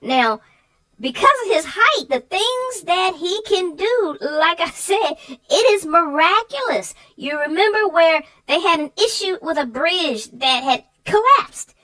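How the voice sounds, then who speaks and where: Female co-host for kids' book talk: high voice with big melodic swings, casual and emphatic. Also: bright, forceful tone, poor audio. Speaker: guest co-host of a children's book show. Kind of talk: casual chat about a picture book.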